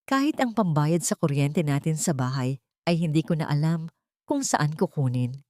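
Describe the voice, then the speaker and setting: Desperate woman, acted by a female narrator, pleading, pitiful, storybook dialogue, slightly low pitch, dry recording. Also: expressive, normal speed, a little mellow, dry recording. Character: desperate, pleading daughter-in-law feigning helplessness.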